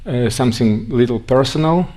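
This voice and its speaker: A man with a Russian accent, raised in Siberia, giving a conference talk in a halting way with frequent restarts. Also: mid-range voice, mellow. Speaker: guest speaker.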